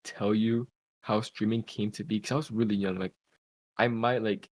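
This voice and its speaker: A man's voice: lowish voice, steady tone, normal speed, a little mellow. A man in his twenties, a podcast guest, telling a long story in a loose, rambling way full of "like". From Cuba, raised in Pennsylvania. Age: 20s. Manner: rambling, heavy use of "like". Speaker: podcast guest, content creator. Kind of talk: casual storytelling. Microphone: podcast call mic.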